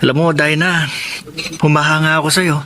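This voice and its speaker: A man's voice: on the high side, little pitch movement, a little fast, bright, forceful tone. A man playing a kind, teasing young college student in a Filipino radio drama, with a playful manner and a Filipino accent. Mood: admiring. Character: rich, teasing, kind-hearted young male college friend.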